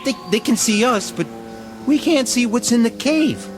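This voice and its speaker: A wry uneasy infantry private. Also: male voice, very high-pitched, expressive, moderate pace. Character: anxious young male infantry soldier. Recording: old TV soundtrack with music.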